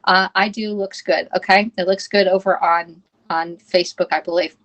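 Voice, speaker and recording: A woman's voice: on the low side, fairly even intonation, moderate pace, fairly bright tone. Woman host of a home cooking livestream: casual, chatty, reads the chat aloud, a bit scattered with the tech. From United States. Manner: chatty, reads viewer comments aloud, fumbles with the app. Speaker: live-stream cooking host. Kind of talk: casual live-stream chat.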